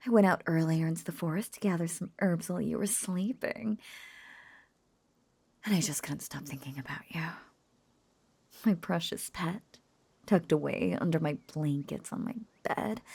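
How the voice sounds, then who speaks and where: A woman playing an obsessive, lovesick young witch who coos over a captive beloved, clingy and breathy with frequent whispers, in an intimate roleplay audio drama. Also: low-pitched, a little slow, long pauses, a little mellow, dry recording, exaggerated voice. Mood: tender, adoring. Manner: cooing, clingy, breathy, often dropping into whispers.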